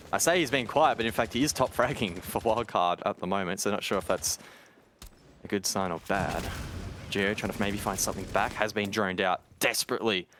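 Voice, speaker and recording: A man's voice: lowish voice, a bit bright. A man giving live play-by-play commentary on an esports match in a conversational way. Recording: game noise, gunfire and clicks behind.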